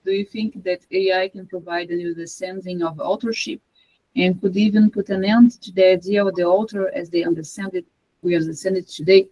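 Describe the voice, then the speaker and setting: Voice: mid-range voice, steady tone, brisk, warm tone, rough recording. A moderator, a native Portuguese speaker, reading audience questions aloud in English in an informal, hesitant way with many fillers.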